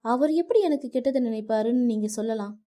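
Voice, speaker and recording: A woman voicing an angry young wife who shouts at her husband and later pleads through tears. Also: mid-range voice, animated, unhurried, no echo, clean studio sound, pitched above the performer's own voice, close to an everyday voice. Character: young wife, hurt and defiant.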